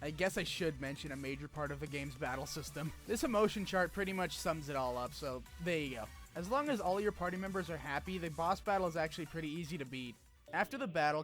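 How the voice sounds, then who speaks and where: A man hosting a video essay, reading a scripted game story recap with informal, animated asides and some swearing. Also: on the high side. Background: music under the voice.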